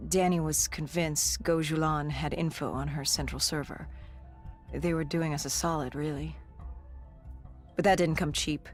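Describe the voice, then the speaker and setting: An actor playing an augmented soldier in a video game, haunted and resolute, recounting past missions in grave, measured storytelling. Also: mid-range voice, steady tone, lightly acted. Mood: brooding, suspicious. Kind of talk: dramatic game cutscene narration. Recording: music under the voice. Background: music.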